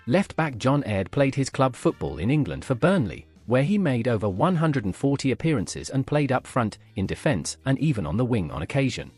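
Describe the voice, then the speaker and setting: A man narrating a scripted football history piece in a measured way, letting each sentence fall at its end. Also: medium pitch, a little mellow, breathy edge.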